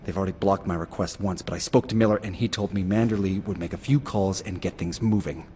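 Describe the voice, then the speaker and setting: An actor playing a wry, dogged forensic investigator in a video game, talking in a chatty, emphatic way. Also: male voice, low voice, fast talker, mellow, a little hiss or hum, natural voice. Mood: determined. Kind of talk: cinematic video game acting. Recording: game soundtrack music behind.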